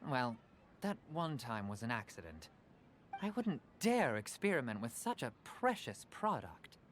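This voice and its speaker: A man's voice: mid-range voice, huge pitch swings, a little slow, a little hiss or hum. An actor playing a jovial male merchant in a game who spins stories to make a sale, with a playful, chuckling delivery. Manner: playful, salesy storytelling, chuckling. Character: jovial middle-aged male merchant, tall-tale salesman. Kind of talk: game voice acting. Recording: game audio with soft background music.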